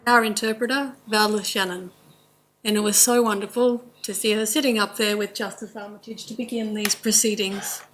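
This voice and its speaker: Woman with an Australian accent, measured. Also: mid-range voice, steady tone, fairly bright tone, a little hiss or hum, rough recording. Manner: measured, slow, in short listed phrases. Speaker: language presenter at a court inquest. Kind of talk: formal court presentation. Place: courtroom.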